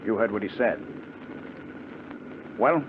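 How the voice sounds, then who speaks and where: A man's voice: on the low side, takes it slowly. A man playing a ruthless, coolly threatening gang leader in a 1950s radio drama, with a clipped, commanding way of talking. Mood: cold menace. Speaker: radio actor. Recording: noisy muffled 1950s radio broadcast.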